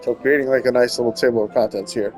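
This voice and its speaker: A man's voice: medium pitch, fairly even intonation, fast talker. A man hosting a gaming video, reading game text aloud and adding casual comments. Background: game music.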